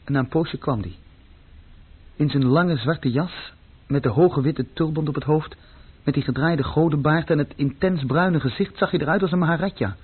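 Very raspy brooding male narrator, phone-like sound. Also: fairly high pitch, moderate pace. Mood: brooding, reflective. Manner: introspective, plain storytelling. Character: searching, brooding runaway son, narrating his story. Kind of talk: radio play narration and dialogue. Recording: muffled, phone-like old radio recording.

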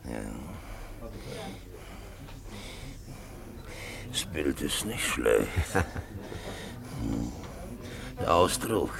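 A man playing an elderly, self-satisfied rich Middle Eastern potentate in a radio play, speaking in halting, repetitive short phrases. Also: extremely low pitch, sing-song, gravelly, a crowd in the background, heavily acted. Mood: pleased, deliberate. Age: older. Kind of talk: radio drama acting.

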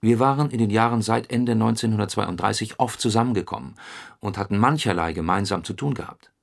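A man's voice: lowish voice, brisk, creaky. An actor playing a sober, analytical political functionary reciting his memoir in a radio play. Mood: sober, reflective. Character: sober communist functionary recollecting a comrade in secret work.

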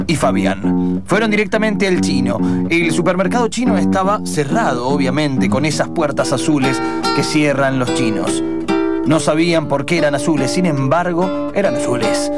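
Man narrating over loud guitar. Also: a little high. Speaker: narrator and improviser. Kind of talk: improvised radio theatre narration. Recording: guitar music behind the voice.

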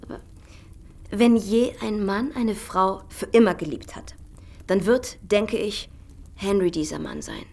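Breathy, confiding young society lady. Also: medium pitch, a little slow, a little mellow. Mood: earnest, coaxing. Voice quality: breathy, often half-whispered. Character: worldly young society woman, confiding and coaxing. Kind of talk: German radio drama acting.

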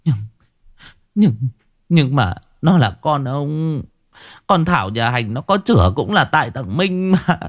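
A man's voice: on the high side, very animated, measured pace, no room sound, clean studio sound, pitched above the performer's own voice. A man voicing a distraught elderly mother and timid wife, pleading and stammering tearfully in a dramatic audio story. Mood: timid, sorrowful.

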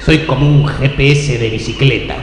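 A man's voice: medium pitch, steady tone, moderate pace, a crowd in the background, natural voice. A man playing a friendly, boastful local cyclist in an improvised radio play, with an Argentine Rioplatense accent. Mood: laid-back, friendly. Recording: echoey hall with loud music.

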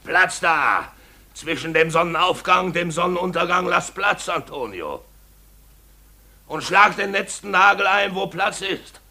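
Sharp-voiced, drunken, bitter painter. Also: male voice, high-pitched, unhurried, full character voice. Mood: drunken bluster. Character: drunken, bitter expatriate painter shouting at his helper. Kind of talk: radio play acting.

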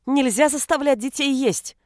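A woman's voice: medium pitch, normal speed, darkish tone, dry recording, studio quality, lightly acted. A woman voicing a strained, protective mother of small children in an audiobook. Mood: outraged. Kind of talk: audiobook character voice.

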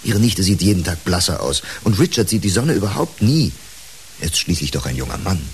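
An actor playing a controlled, concerned psychotherapist in a radio drama, measured, questioning and gently admonishing. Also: male voice, deep voice, sing-song. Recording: hissy radio drama recording.

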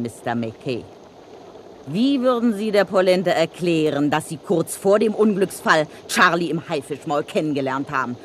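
A woman's voice: on the low side, a little hiss or hum, natural voice. An actress playing a brazen, street-wise woman blackmailer from the underworld in a German radio crime play, with cheeky, slangy, taunting delivery.

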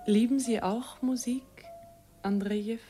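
An actress playing a dreamy, romantic teenage daughter of a governor in a radio drama, speaking softly and ingenuously. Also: female voice, on the high side, fairly even intonation, a little slow, soft background music, natural voice.

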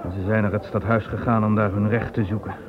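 A man's voice: on the low side, little pitch movement, fast, crowd noise, noisy background, close to an everyday voice. A man in a radio play voicing a blunt, stubborn middle-aged tanner mourning his son. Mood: grim. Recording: tinny old radio recording.

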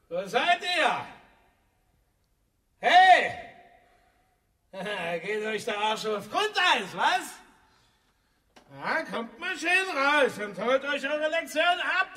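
Radio play thug: menacing, taunting, slow drawn-out calls, sharp bright edge. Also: male voice, extremely high pitch, heavily acted. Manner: taunting, shouting out. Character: menacing neo-Nazi thug hunting youths. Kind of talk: radio drama acting. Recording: distant, echoing hall.

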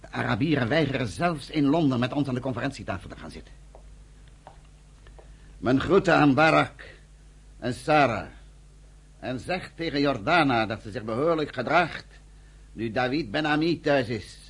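An actor playing a weary, grave underground army commander in a radio drama, with a husky, gravelly voice. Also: male voice, mid-range voice, animated, normal speed, long pauses, a bit bright. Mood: commanding.

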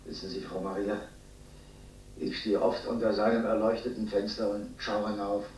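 An actor playing a lonely old Austrian communist who dwells solemnly on the past, in a radio play. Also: male voice, fairly low pitch, little pitch movement, moderate pace, darkish tone, slight background noise, lightly acted. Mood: bitter, reflective. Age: older. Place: large echoing empty hall. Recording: echoing, distant radio play recording.

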